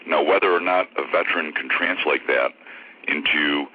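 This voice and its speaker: A man with a low voice and a head cold, a franchise consultant chatting as a guest on a phone interview. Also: breathy edge. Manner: relaxed, chatty, halting. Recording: tinny phone line on radio.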